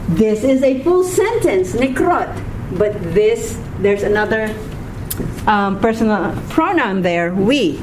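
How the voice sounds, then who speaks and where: A woman with a Filipino accent teaches a grammar lesson at an unhurried pace. Her voice sits a bit high, and she keeps checking in with the class as she goes. Clear background noise runs under the classroom recording. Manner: patient, repeats words, checks in with "okay?". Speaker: Hebrew teacher. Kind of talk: classroom lecture. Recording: noisy room recording.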